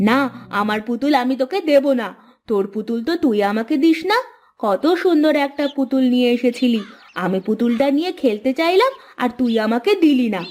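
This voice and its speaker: A woman's voice: a little high, animated, brisk, a little mellow, soft background music, pitched below the performer's own voice, close to an everyday voice. A woman voicing a boastful, possessive child in a cartoon story. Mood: boastful, spiteful. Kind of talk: cartoon voice acting.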